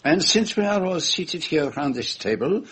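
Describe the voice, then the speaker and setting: An actor playing a shrewd, courteous foreign detective with a French accent and formal, deliberate, commanding speech in a radio drama. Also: male voice, a little high, sing-song, fairly bright tone, slightly rough, full character voice. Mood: theatrical, courteous.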